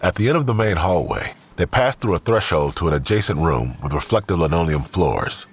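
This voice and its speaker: A man narrates an audio drama from a script. His voice is deep and extremely raspy, yet it moves with lively, expressive intonation. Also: a little fast.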